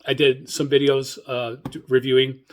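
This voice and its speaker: A man casually hosting a solo radio hobby show, talking enthusiastically in a halting, rambling way and often repeating his words. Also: medium pitch, flat delivery.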